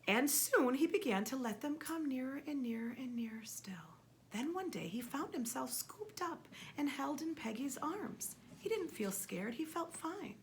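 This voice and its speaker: A woman reading a children's story aloud expressively and emphatically, pausing to ask a child questions. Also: high-pitched, a little hiss or hum. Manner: expressive, emphatic, with repeated words for effect.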